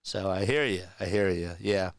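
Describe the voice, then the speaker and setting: A man calling a basketball game live, play by play, sounding a bit raspy and nasal as he gets over a sore throat, chatting casually between plays. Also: low voice, moderate pace, dry recording, clean studio sound. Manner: conversational, reads and answers chat comments. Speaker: play-by-play commentator.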